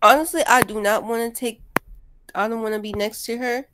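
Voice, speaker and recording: High-voiced sassy reluctant friend played by a woman. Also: fairly even intonation, a little fast, noisy background, close to an everyday voice. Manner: casual, sassy, repeats herself. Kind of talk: amateur voiced roleplay, improvised.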